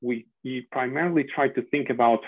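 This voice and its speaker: A man's voice: medium pitch, brisk, husky, no room sound. A man around forty from Portugal, a podcast guest speaking earnestly and reflectively with frequent restarts. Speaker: podcast guest, security specialist. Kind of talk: casual interview answers. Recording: tinny phone-like line, dry.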